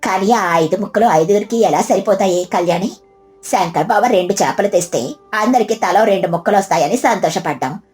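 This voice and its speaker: A woman voicing a sensible, caring elder sister-in-law with a measured, gently scolding manner in a storybook tale. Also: medium pitch, faint music behind, voice pushed down, lightly acted. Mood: concerned.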